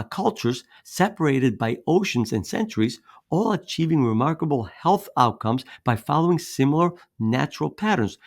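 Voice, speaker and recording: A man raised in Greece talks through a scripted fitness presentation in an earnest, persuasive way. His middle-pitched voice has a gravelly edge. Now and then he repeats a word or drops in a filler. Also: darkish tone. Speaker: fitness coach presenting his weight loss method.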